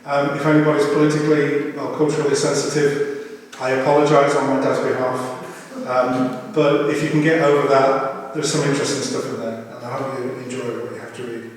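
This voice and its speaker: A man giving an informal, hesitant and halting welcome speech as he leads a funeral service. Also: medium pitch, level tone. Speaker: family member leading a funeral service. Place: crematorium chapel. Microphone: distant camera at the back of the room. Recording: very echoey chapel, distant mic, faint noise.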